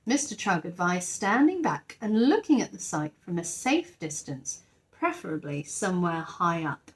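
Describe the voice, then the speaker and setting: A woman's voice: lowish voice, very animated, moderate pace. A woman narrating a children's story expressively, like a teacher reading aloud to her class.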